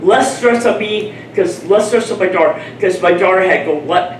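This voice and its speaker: A man from Chicago speaking from the audience at a community panel, blunt and rambling with a self-mocking streak. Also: sits high. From Chicago, Illinois. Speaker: audience member. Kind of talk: open-floor comment. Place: event room at a community panel. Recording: roomy, distant, faint noise.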